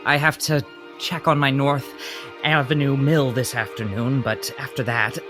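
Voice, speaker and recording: A man's voice: mid-range voice, fairly even intonation, unhurried, over music, close to an everyday voice. A man acting in an audio drama as a huffy, cowardly mill owner, fussy and melodramatic. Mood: sheepish, nervous. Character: indignant, cowardly male mill owner and society member.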